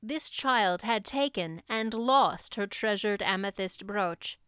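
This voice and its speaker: A woman's voice: mid-range voice, takes it slowly, a bit bright, clear, resonant tone, dry recording, studio quality. A woman audiobook narrator reading a classic story as scripted narration.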